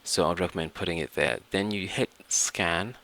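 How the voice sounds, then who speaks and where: Male tutorial presenter, low pitch with a crisp bright edge, informal and explanatory. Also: normal speed. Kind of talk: informal software tutorial narration.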